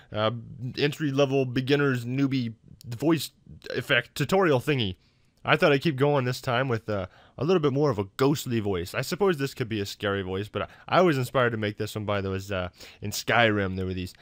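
A man hosting a casual software tutorial in an informal, self-deprecating, hesitant way. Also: medium pitch, a little fast, no room sound, clean studio sound. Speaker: tutorial host.

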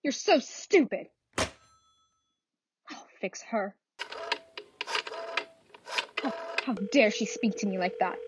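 A woman's voice: medium pitch, lively intonation, drawn-out words, pauses often, muffled recording, noisy background. A woman playing a frantic, demanding bedridden wife in a radio thriller, with an indignant, melodramatic manner. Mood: indignant, haughty. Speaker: actress in a student radio play. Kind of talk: theatrical radio thriller acting.

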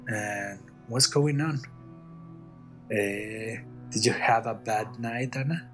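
A man's voice: fairly low pitch, takes it slowly, faint music behind. A young man acting a worried friend, speaking in a hesitant, easygoing way in a small room. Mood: concerned. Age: young adult.

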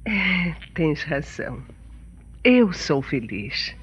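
An actress playing a warm-hearted, contented wife and mother in a radio soap, with a husky voice and Brazilian accent. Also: deep voice, sing-song, extremely slow, dull audio, faint music behind, exaggerated voice. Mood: earnest, tender. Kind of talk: radio soap opera acting.

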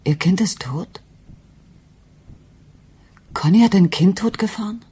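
An actress playing a troubled, anxious wife in a German radio crime drama, with a hesitant, brooding manner. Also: female voice, mid-range voice, slow pace, mellow, slightly rough. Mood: shaken.

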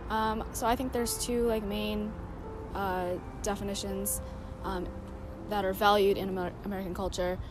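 A woman's voice: mid-range voice, flat delivery, moderate pace, over music, poor audio. 22-year-old woman, casual filler-heavy answers. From Seattle. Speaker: interviewee. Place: outdoors by bushes.

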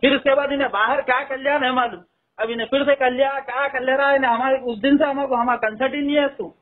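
A man's voice: extremely high pitch, steady tone, fast. A man with a Hyderabadi accent explaining and reasoning in an informal phone conversation. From Hyderabad, India. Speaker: caller. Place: telephone call, played in a news upload. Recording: tinny phone call recording.

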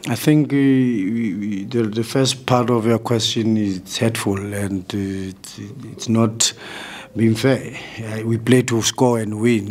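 South African coach, man, forties, low flat delivery, measured, restarts a lot, table mic in press room. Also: a little hiss or hum. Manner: measured, restarts phrases often. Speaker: football coach. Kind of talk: post-match press conference answers. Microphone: table mic in front.

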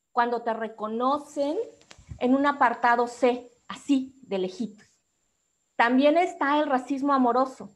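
A woman with a Mexican accent speaking as an activist on a discussion panel, delivering a prepared formal talk in a measured, articulate way. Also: on the high side.

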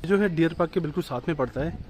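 Fast-talking man vlogging outdoors. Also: a little high, darkish tone, a little hiss or hum. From India. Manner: chatty, mixes English words into Hindi. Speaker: travel vlogger. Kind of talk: walking tour vlog. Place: outdoors at an old fort and town streets. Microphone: phone camera held at arm's length.

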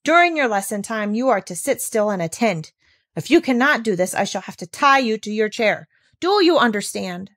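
A woman's voice: a little high, very animated, normal speed, a bit bright. A woman reading a storybook as a stern, indignant housekeeper who scolds in formal, severe phrases. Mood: strict warning.

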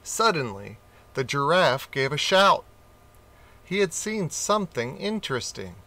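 A man reading a children's picture book aloud, slowly and expressively. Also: a little high. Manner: slow, expressive reading with character voices. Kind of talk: storybook read-aloud.

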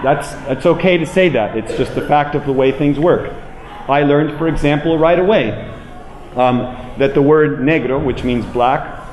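A man's voice: fairly high pitch, darkish tone. Emphatic American man lecturing in echoey room. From United States. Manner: emphatic, deliberate, repeating phrases for weight. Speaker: public speaker. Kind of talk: public lecture. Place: indoor room with plain walls, speaking to an audience. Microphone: handheld microphone, later a small clip-on mic. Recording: roomy echo, clear background noise.

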